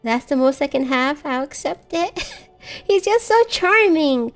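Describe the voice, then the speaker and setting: Young woman streamer, high bright voice that jumps around, giggly, faint music behind. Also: measured pace. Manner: giggly, animated, reacts loudly. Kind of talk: casual live-stream game chat.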